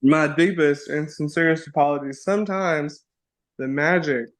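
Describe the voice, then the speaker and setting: A young adult podcast guest in casual conversation, playful and bubbly, with lots of likes and you-knows. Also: male voice, fairly high pitch, fairly even intonation, normal speed.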